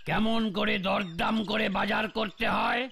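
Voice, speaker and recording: A man voicing a bossy, easily exasperated older gentleman coach in an animated cartoon. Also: high-pitched, a little slow, creaky. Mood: exasperated scolding. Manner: bossy, scolding, repeats words when flustered.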